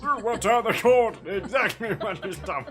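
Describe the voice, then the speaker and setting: Woman putting on a shrill, loud courtroom official. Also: expressive, moderate pace, soft background music, lightly acted. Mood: shrill, commanding.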